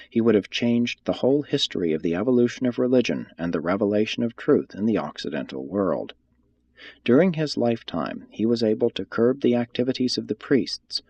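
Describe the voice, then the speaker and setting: A man reading a religious text aloud as scripted narration, in a measured, formal manner. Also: on the low side, creaky, darkish tone, slightly rough, no room sound. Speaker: audiobook reader.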